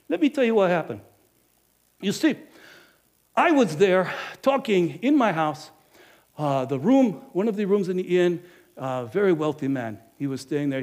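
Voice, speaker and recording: A man acting out a middle-aged innkeeper in a dramatic first-person monologue, emphatic and animated, swinging between loud and hushed. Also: high voice. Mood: storytelling. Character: middle-aged male innkeeper, money-minded and guilt-ridden. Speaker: actor.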